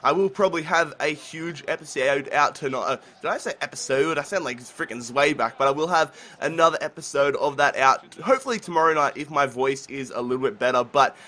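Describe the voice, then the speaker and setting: A young man commentating his football video game play while sick with the flu, talking casually and getting excited at chances. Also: fairly high pitch, a little fast, few pauses. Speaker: gaming commentator.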